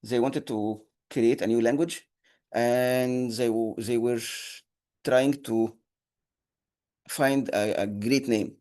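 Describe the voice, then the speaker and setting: A man whose first language is Arabic, teaching an online programming class, halting with many fillers and restarts. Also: mid-range voice, fairly even intonation, a little mellow. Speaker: instructor. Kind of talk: online lecture.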